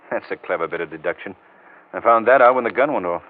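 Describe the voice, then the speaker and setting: A man playing a shrewd, composed gentleman sleuth with an urbane, dry manner in a 1940s radio drama. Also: lowish voice, fairly quick, natural voice. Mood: dry, thoughtful. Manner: urbane, dryly amused. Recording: muffled old-time radio recording.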